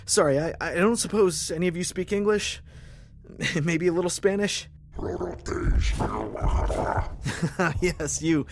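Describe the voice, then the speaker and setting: A man's voice: high voice, moderate pace, close to an everyday voice. A man playing a wry, scrappy space lieutenant in a science fiction audio drama, with a sardonic, self-muttering delivery. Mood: cautious, placating. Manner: sardonic muttering to himself, sighing, breaking into shouts. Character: wry, scrappy male space lieutenant alone on a hostile ship. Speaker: narrating protagonist.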